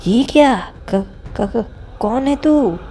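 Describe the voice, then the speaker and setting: A woman's voice: fairly high pitch, animated, extremely slow, warm tone, a little hiss or hum, full character voice. A woman voicing a frightened, stammering schoolboy in a cartoon story, pleading in panic. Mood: panicked, pleading. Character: frightened schoolboy pleading against cursed drawings.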